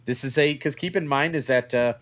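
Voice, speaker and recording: A man's voice: medium pitch, a little fast, a bit bright. A man co-hosting a podcast in a casual, opinionated conversational style. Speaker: podcast co-host.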